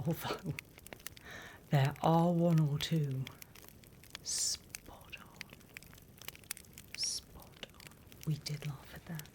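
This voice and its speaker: A British woman playing a sly, mock-stern demon teacher in a whispered ASMR roleplay, addressing her trainees with deliberate, teasing menace. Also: deep voice, fairly even intonation, exaggerated voice. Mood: wry, instructive.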